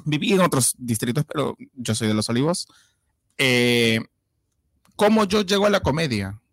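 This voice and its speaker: A man's voice: medium pitch, expressive, moderate pace, dry recording, studio quality. A man from Lima with a Peruvian accent, a guest chatting easily about his life as a cook. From Peru. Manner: easygoing, talkative, laughs easily. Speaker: guest, cook and food content creator. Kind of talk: casual studio chat. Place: radio studio.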